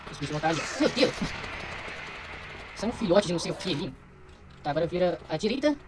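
A man's voice: a little high, big pitch swings, quick pace, faint music behind. A young Brazilian man giving casual, slangy let's play commentary, muttering to himself as he goes. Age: young adult. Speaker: gaming streamer.

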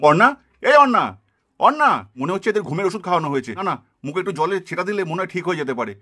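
A man's voice: high voice, lively intonation, very fast, pushed and bright, heavily acted. A man voicing a protective, alarmed village rescuer in a puppet cartoon, urgent and emphatic. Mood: worried.

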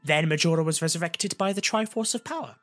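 A man's voice: on the high side, fast talker, a bit bright, faint music behind, pitched above the performer's own voice, close to an everyday voice. A man voicing a solemn, foreboding male seer who speaks formally and emphatically in a fantasy story. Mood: uneasy. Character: grave, foreboding male time-travelling seer.

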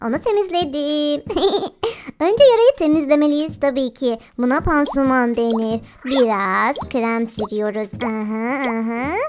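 A woman's voice: sits high, unhurried, noisy background. A woman doing playful game commentary for children, cooing and exclaiming as she talks to animals and young viewers, often giggly. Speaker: children's game video host. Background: background music.

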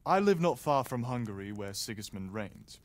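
A man's voice: medium pitch, big pitch swings, moderate pace, natural voice. An actor playing a polite, well-spoken young nobleman explaining matters in a video game cutscene.

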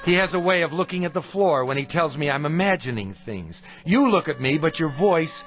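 A man's voice: on the high side, expressive, a little fast. An actor playing an ill man, weak with fever, who questions and accuses his hosts indignantly in a radio drama. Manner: probing, indignant, sharp questions. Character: ill, defiant male traveler confronting monks. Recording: radio play with music behind.

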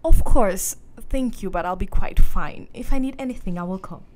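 A woman playing a sweet, trusting young lady in a radio drama, genteel and gently teasing. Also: mid-range voice, normal speed, close to an everyday voice. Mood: trusting, cheerful.

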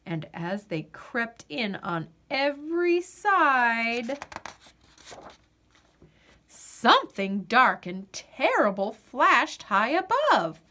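A woman reading a children's picture book aloud, dramatic and deliberate, with drawn-out pauses. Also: on the high side, sing-song, pushed and bright. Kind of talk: expressive children's storybook narration.

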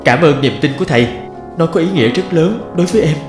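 A man's voice: fairly high pitch, expressive, fast, close to an everyday voice. Guy doing young troubled student just outta prison, remorseful, cartoon drama, music behind. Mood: remorseful, earnest. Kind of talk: animated drama dialogue.

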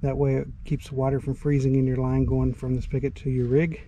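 Southern middle-aged man, mellow and even-toned, casual how-to vlog, faint outdoor hiss. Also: medium pitch, fairly quick. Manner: easygoing, plain-spoken, with frequent uh fillers. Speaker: RV vlogger. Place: outdoors at a snowy campground and inside an RV.

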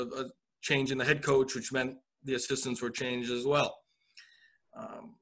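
A man in his forties, a college soccer coach from Romania, telling his career story on a video call with relaxed pacing and frequent fillers. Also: on the high side. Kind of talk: video call interview answers. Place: indoor office.

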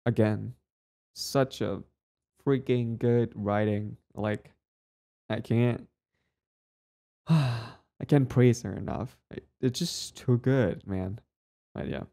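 A man's voice: mid-range voice, slow, creaky, pauses often, warm tone. A man who makes audio roleplays, talking casually to his listeners in an outro.

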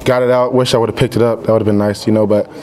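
A man's voice: lowish voice, little pitch movement, fast talker, a little mellow. A young man, a senior defensive end, answering questions at a postgame press conference. He is upbeat and rambling, with lots of "you know" fillers. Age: young adult.

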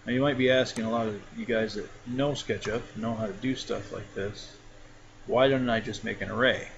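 A man casually talking through a software tutorial step by step, with frequent fillers. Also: fairly low pitch, moderate pace. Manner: relaxed, talks through each step with fillers. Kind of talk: casual screen-recorded tutorial. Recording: dull lo-fi mic with clear background noise.

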